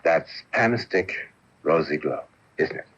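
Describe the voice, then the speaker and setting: Suave gentleman ghost: playfully knowing, theatrical flourishes, animated melody, radio drama, dull broadcast sound. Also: extremely low pitch, slow pace, a little hiss or hum, full character voice. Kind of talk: radio mystery acting. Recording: dull off-air AM radio recording.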